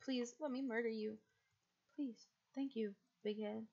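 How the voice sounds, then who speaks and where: A young woman from the United States, chatting casually and rambling as she streams a game, with a husky, quiet voice and frequent laughs. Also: on the high side, fairly even intonation, a little slow, muffled recording.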